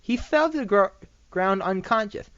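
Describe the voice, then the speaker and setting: Animated amateur narrator, stumbling over phrases. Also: medium pitch, moderate pace, no room sound. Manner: stumbling, often repeats phrases. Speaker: story narrator. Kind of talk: amateur fan fiction reading. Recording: dull, dry home recording.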